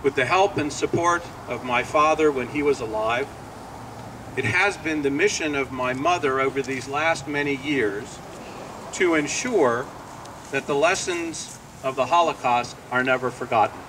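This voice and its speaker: A man delivering a measured, formal prepared speech at an outdoor dedication ceremony. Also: mid-range voice. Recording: distant mic, faint outdoor noise.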